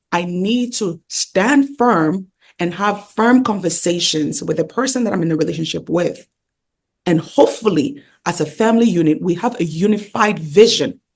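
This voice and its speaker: Gravelly, husky woman teaching. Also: on the low side, a little mellow. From Ghana. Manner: emphatic, repeats key phrases, rhetorical questions. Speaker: interview guest, pastor and wellness coach. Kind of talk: conversational teaching.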